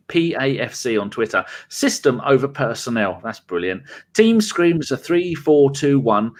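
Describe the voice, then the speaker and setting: A man co-hosting a football podcast, rambling through his notes with a jokey, teasing manner. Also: mid-range voice. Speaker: podcast co-host. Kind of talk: casual football chat.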